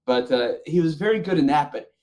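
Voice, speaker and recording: A man's voice: on the high side, lively intonation, brisk, darkish tone. A man hosting a casual panel chat about films, speaking in an enthusiastic, emphatic way. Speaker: podcast host.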